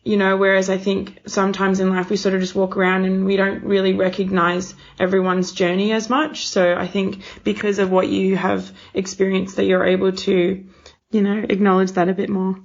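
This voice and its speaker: A woman's voice: mid-range voice, monotone, moderate pace, highs cut off. A woman hosting a casual interview, talking in an encouraging, rambling, conversational way.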